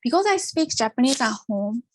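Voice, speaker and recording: Woman from Hawaii, higher voice, hesitant, audience question, dry recording. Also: big pitch swings, no room sound. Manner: hesitant, many fillers, slow. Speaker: audience member asking a question. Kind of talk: asking a question at an online talk.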